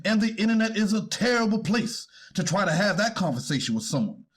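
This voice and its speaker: High, husky-voiced deferential defense attorney acted by a man. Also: sing-song, a little fast, heavily acted. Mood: earnest, pleading. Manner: deferential, formal, hesitant when challenged. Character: deferential male defense attorney pleading for his client. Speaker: actor reading a defense attorney. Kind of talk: readers theater courtroom transcript reading.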